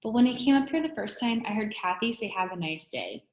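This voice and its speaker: A woman voicing a pushy, cheerful school lunch lady with a coaxing, brisk manner. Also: fairly high pitch, expressive, pitched above the performer's own voice, natural voice. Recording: echoey room.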